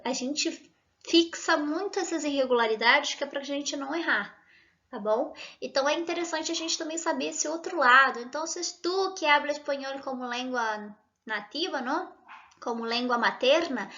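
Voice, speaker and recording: Brazilian woman, native Portuguese speaker, Spanish teacher; high, bright voice; slightly dull lo-fi recording. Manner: explanatory, switching between Spanish and Portuguese, asks viewers questions. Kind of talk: online language lesson.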